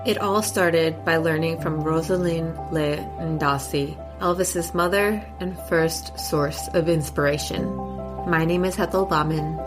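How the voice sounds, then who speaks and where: A woman's voice: low voice, steady tone, measured pace, music in the background. A young adult woman hosting a podcast, reading a scripted intro and then interviewing a guest in an upbeat, enthusiastic way. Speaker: podcast host.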